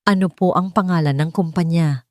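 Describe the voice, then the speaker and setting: A woman voicing a humble, sharp-minded housemaid in a story, deferential to her employer. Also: on the low side, normal speed, no room sound, studio quality, close to an everyday voice. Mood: eager, probing.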